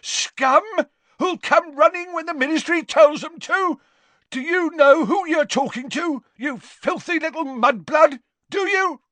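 A man's voice: high voice, huge pitch swings, measured pace, a bit bright, no echo, voice pushed up, exaggerated voice. A man voicing a belligerent, sneering old wizard father in an audiobook, bellowing and jeering. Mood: outraged.

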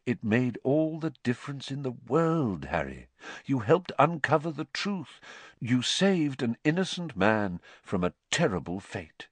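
A wise old wizard headmaster, acted out by a male narrator for an audiobook. He is soft-spoken and gentle, with measured, reflective phrasing that rises and falls widely in a dry recording. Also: medium pitch, very animated, no echo, voice pushed up. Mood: gently consoling. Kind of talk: audiobook character voice.

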